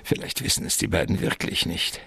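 An actor playing a smug, scheming gentleman crook in a radio crime drama, with a gravelly, husky voice and a pompous, drawn-out, mockingly courteous manner. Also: close to an everyday voice. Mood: smug, amused.

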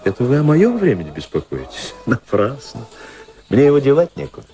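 An actor playing a flirtatious, flippant society playboy in a radio play, with a teasing, ironic, theatrical delivery. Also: male voice, medium pitch, wildly swooping pitch, fairly quick, warm tone. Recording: music playing behind the voices.

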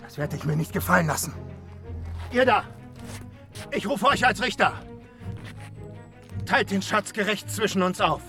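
Ein Schauspieler spielt in einem Fantasy-Hörspiel einen betrogenen, empörten Riesen, polternd und aufgeregt. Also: male voice, high voice, lively intonation, measured pace, long pauses, pushed and bright, heavily acted. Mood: furious. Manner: blustering, agitated. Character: cheated, indignant, hot-headed giant. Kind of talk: fantasy radio play acting. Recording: music behind the voice.

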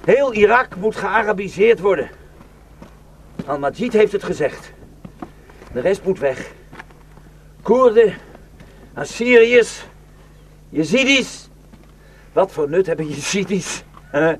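A man's voice: high voice, lively intonation, slow, pauses often, slight background noise. An actor playing a cynical, taunting Iraqi man in a radio drama, declaiming and mocking. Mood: scornful, fired up. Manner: mocking, declamatory, swinging from hushed to shouting.

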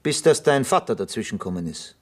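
A probing detective inspector for a radio drama. The voice is fairly low and husky. He questions in short, measured sentences and does not let go. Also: sing-song. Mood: pressing. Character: probing, persistent male police detective inspector. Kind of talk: German radio drama acting.

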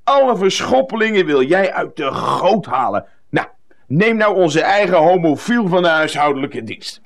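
A man's voice: a little high, very animated, moderate pace, vocal fry. An actor playing a boisterous, bullying former colleague in a comic radio play, hearty and mocking as he teases and badgers. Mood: scolding, badgering. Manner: loud joviality, teasing catchphrases, badgering.

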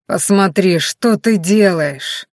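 A woman's voice: lowish voice, fairly even intonation, no room sound, clean studio sound. A woman voicing an anguished male werewolf in a dramatic audiobook, desperate and half-mad with guilt, with a strained, breaking voice. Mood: frantic, shouting. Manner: desperate, halting, repeating himself.

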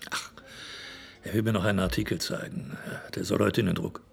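An actor playing an easygoing, amused café card player in a radio play, with a husky, gravelly voice. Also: male voice, deep voice, fairly even intonation, fairly quick. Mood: dry amusement. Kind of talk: conversational radio play acting.

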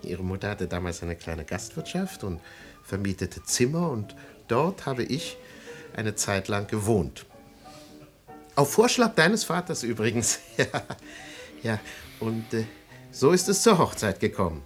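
An actor in a German radio play playing a timid, self-effacing impoverished photographer with a hesitant manner. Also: male voice, on the low side, sing-song, unhurried, faint music behind. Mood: reminiscent, earnest. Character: timid, awkward, evasive impoverished photographer, middle-aged man.

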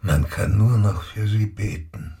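An actor playing an earnest, disapproving country priest in a German radio drama, with a raspy, gravelly voice. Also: male voice, on the low side, a little slow, dark tone, exaggerated voice. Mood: stern, indignant.

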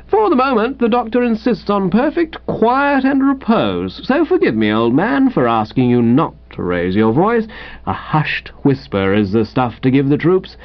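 A man acts out a smug young upper-class English gentleman for a comic audiobook, languid and patronizing. The voice sits high and swoops freely in an affected drawl, on slightly dull audio. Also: very animated, normal speed.

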